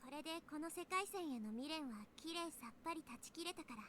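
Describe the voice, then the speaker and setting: Very high-voiced, earnest young heroine. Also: female voice, lively intonation, rapid-fire, a bit bright, slight background noise. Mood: resolute. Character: young woman time traveller, earnest, resolute daughter. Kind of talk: Japanese visual novel voice acting.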